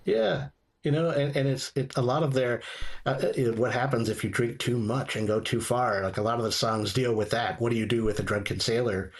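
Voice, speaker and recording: A man's voice: mid-range voice, steady tone, a little fast, slightly rough. A man contributing to a casual chat show, speaking hesitantly as he searches for words.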